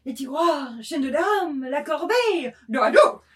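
A woman's voice: high-pitched, very animated, normal speed, fairly bright tone. A woman playing a dancing master who calls out quadrille figures in French during a literary reading. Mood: brisk, commanding.

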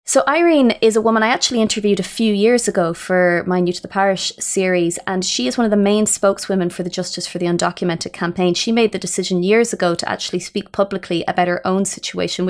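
A woman reporting and explaining a news story in a measured way. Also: mid-range voice.

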